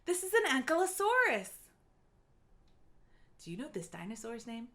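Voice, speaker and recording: A woman's voice: sits high, wildly swooping pitch, moderate pace, fairly bright tone. A woman reading aloud for young children in a playful, encouraging way, with short simple phrases and quiz-like prompts. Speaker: children's read-aloud narrator.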